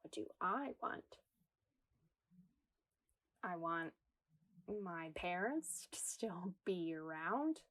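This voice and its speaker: A woman's voice: lowish voice, lively intonation, very slow, pauses often, a bit bright, exaggerated voice, low-quality recording. An actress playing an anxious, self-deprecating woman in existential crisis, narrating a confessional radio comedy monologue with wry, dramatic delivery. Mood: quiet, wistful.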